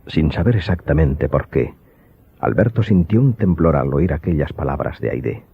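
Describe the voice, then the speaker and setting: A man's voice: extremely low pitch, animated, moderate pace, gravelly, breathy edge, dry recording. A man narrating an audiobook drama from a script in a formal, literary manner. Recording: thin muffled phone-like sound, faint music.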